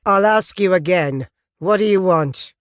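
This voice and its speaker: A hostile male biker gang leader, read by a woman in a firmer, louder voice. He speaks in short, blunt, menacing bursts, and the recording is close and dry. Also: lowish voice, unhurried, no echo, close to an everyday voice. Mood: threatening.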